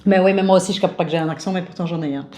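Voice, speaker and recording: A woman's voice: lowish voice, steady tone, rapid-fire, darkish tone, roomy sound. A woman with a Namur Belgian French accent, a family vlogger chatting playfully and laughing often. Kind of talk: casual chat to camera.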